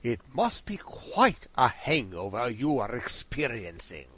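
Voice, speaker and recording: Theatrical Victorian detective, wildly swooping. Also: male voice, medium pitch, very animated, measured pace, a bit bright, breathy edge, heavily acted. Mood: jovial, teasing. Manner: drawling, grandly formal, swooping delivery. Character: theatrical, cheerfully condescending male Victorian detective. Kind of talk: audio drama acting.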